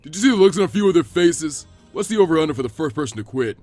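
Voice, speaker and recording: A man voicing an intimidating, sardonic ninja proctor with a gruff, gleefully sadistic manner in a fan fiction reading. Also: fairly high pitch, animated, racing, darkish tone, no room sound, soft background music. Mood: amused. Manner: bellowing, gruff, darkly amused.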